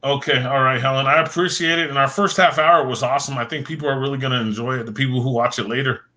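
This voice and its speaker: A man's voice: medium pitch, fairly even intonation, quick pace, sharp tone. A man hosting a late-night livestream, rambling and repeating words as he thanks viewers by name, his voice worn and fading. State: losing his voice. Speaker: livestream host. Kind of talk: casual livestream chat.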